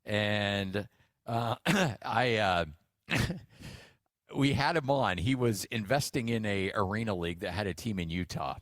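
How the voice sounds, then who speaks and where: Low-voiced American sports radio host. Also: male voice, animated, unhurried, a bit bright, dry recording, clean studio sound. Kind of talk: casual sports radio banter.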